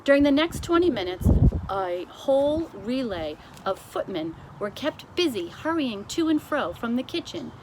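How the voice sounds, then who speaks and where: A woman's voice: on the high side, a little hiss or hum, low-quality recording. A woman reading a children's book aloud expressively as the narrator. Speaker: storybook reader.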